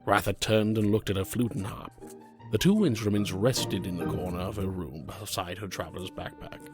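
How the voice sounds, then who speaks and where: A man performs as a fantasy storyteller with a fairly low voice. He reads measured, scripted narration, with faint music underneath. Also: lightly acted. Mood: calm.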